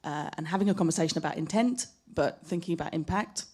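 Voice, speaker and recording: Woman, panelist, slightly low voice, thoughtful, measured, live panel talk. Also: a little mellow, slightly rough. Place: gallery event space.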